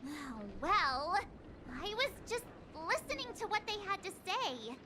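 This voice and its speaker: A woman's voice: very high-pitched, very animated, unhurried, background noise, heavily acted. An actress playing an earnest, flustered young woman in a video game. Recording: game audio with loud music.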